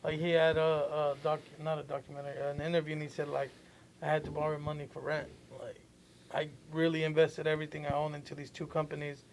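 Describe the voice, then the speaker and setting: Higher-voiced man, flat explanatory tone. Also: quick pace, slight background noise, low-quality recording. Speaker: podcast co-host. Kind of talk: casual chat.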